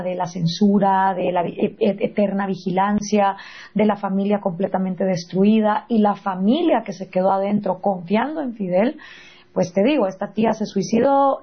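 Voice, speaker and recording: A woman with a Guatemalan accent in conversation as an interview guest, telling personal stories in an animated, emphatic way. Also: medium pitch, muffled recording. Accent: Guatemalan Spanish.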